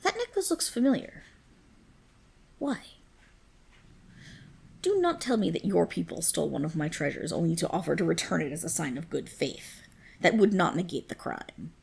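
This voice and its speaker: A woman voicing a proud, possessive dragon queen in a dramatic ASMR roleplay, with regal, commanding, formal diction and a breathy, husky, creaky voice. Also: fairly low pitch, sing-song, normal speed, pauses often, a little mellow, exaggerated voice. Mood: scrutinizing.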